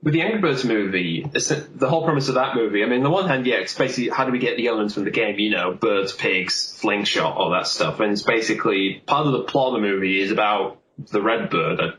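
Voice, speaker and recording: A man's voice: on the low side, animated, quick pace, few pauses, a bit bright. A man in a casual film discussion, talking in a rambling, explanatory way. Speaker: podcast host. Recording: roomy, echoey sound.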